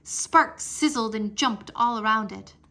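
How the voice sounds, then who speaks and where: A woman's voice: fairly high pitch, a little slow, bright, forceful tone. Woman reading a children's storybook aloud. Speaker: read-aloud narrator.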